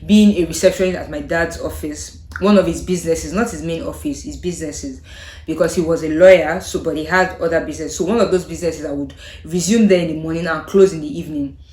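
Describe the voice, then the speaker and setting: A young woman with a Nigerian accent chats to camera in a slightly low voice. She talks thoughtfully and hesitantly, her sentences often fading out softly. The room around her has a noticeable echo. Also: quick pace. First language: Yoruba. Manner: thoughtful, hesitant, trailing off softly with frequent laughs. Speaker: vlogger. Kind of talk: casual chat to camera. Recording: echoey room.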